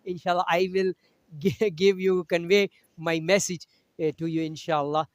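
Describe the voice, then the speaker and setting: A man from Pakistan whose first language is Urdu, teaching a Quran lesson over a video call in halting English with many pauses. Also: high-pitched, steady tone, dry recording. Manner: halting English with frequent pauses and throat-clearing.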